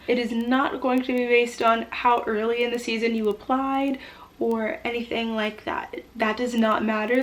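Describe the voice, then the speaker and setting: A young woman with a fairly high voice talks casually, like a vlogger sharing tips. She is encouraging and reassuring, explaining things in an informal, conversational way. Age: young adult. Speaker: vlogger giving interview tips. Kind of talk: casual advice to camera.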